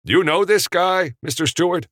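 A man's voice: high-pitched, animated, moderate pace, a bit bright, no echo, studio quality, pitched above the performer's own voice. Man doing a thundering, bossy old Hollywood crewman. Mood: gruff, surprised. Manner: brusque, old-time slangy. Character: large, gruff, bossy 1940s assistant director.

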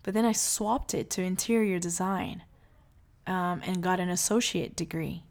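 A woman in her late thirties from Brazil, calmly telling her life story in a reflective monologue. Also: lowish voice, moderate pace. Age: 30s.

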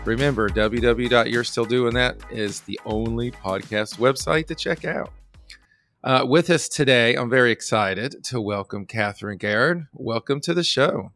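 A man's voice: medium pitch, moderate pace, fairly bright tone, faint music behind. A man hosting a podcast, chatting in a friendly way and sometimes stopping to hesitate with filler words. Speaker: podcast host. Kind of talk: casual interview.

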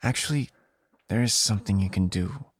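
A man's voice: lowish voice, brisk, slightly rough, no echo, studio quality. A man with an American accent playing a guarded, secretive, somewhat hesitant man searching for an old friend in a naturalistic audio drama. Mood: careful, earnest. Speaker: narrator and lead character. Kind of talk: naturalistic audio drama acting with inner asides.

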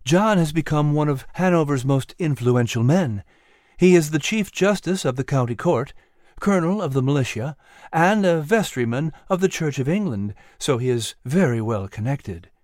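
Guy reading as a friendly lady who knows her stuff, storybook dialogue, animated, dry recording. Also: on the high side, normal speed, no echo, close to an everyday voice. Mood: informative. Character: friendly, well-informed woman confiding in animal friends.

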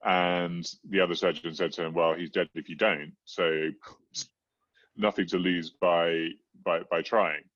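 Middle aged guy w low voice telling his story in an interview, kinda halting, repeats words, muffled lofi sound. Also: steady tone, a bit bright, no room sound. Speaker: interview guest. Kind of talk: personal storytelling in conversation. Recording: dull, muffled low-fidelity audio.

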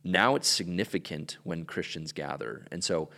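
A man who grew up in Ecuador, a pastor talking casually on a podcast, relaxed and joking with lots of filler words. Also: low voice, moderate pace, vocal fry, big-room sound.

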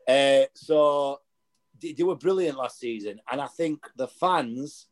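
A man, chatting as a podcast guest in a rambling, animated way. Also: mid-range voice, slow. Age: 30s. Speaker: guest, boxing coach. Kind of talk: casual podcast chat.

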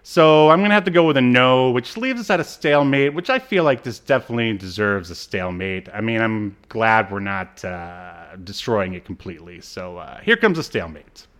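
Low-voiced man, halting podcast host. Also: animated, a bit bright. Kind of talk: casual pop-culture review chat.

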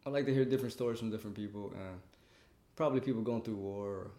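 A man's voice: fairly low pitch, quick pace, mellow. A man from the United States answering interview questions casually, repeating phrases for emphasis. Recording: echoey room.

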